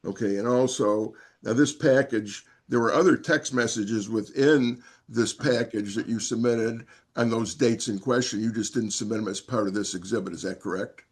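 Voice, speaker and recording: A man working as a defense attorney, questioning and arguing in court with halting, repetitive, wordy phrasing. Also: fairly low pitch, husky. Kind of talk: courtroom cross-questioning and argument.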